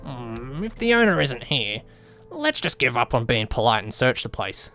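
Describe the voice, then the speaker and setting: A man's voice: mid-range voice, very animated, normal speed, pushed and bright, soft background music. A man voicing a big, easygoing male detective who talks informally and straightforwardly in an audiobook. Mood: undeterred. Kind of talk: audiobook character dialogue.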